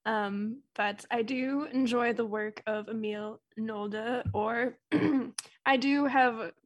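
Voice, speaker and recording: A woman's voice: fairly high pitch, fairly even intonation, takes it slowly, lo-fi sound. Young woman, rambling podcast chat. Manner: rambling, many likes and restarts. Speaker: podcast co-host.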